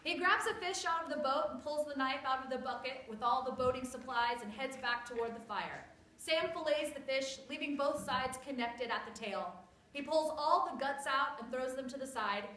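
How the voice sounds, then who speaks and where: High, bright woman narrating. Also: normal speed. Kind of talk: scripted story narration read aloud. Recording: echoey room with clear background noise.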